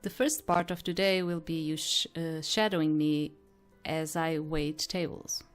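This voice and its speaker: A woman with reading a polite, considerate male restaurant boss in a visual novel, calm and formally instructive. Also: sits low, measured pace, soft background music.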